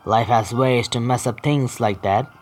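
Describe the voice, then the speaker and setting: A man hosting a gaming video, reading story text aloud haltingly with stumbles and adding casual asides. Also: mid-range voice, slight background noise. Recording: faint game music behind. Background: faint video game music.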